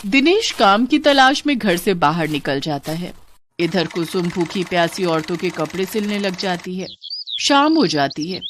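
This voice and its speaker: A woman's voice: on the low side, very animated, unhurried, a little hiss or hum. Woman narrating a moral bedtime story.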